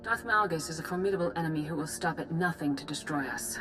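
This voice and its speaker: Woman voicing a jedi master lady in a game, deep for a woman, grave n commanding, music behind her. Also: quick pace, lightly acted. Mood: grave authority. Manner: formal, commanding, composed. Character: grave, authoritative female Jedi grand master. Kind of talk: video game voice acting.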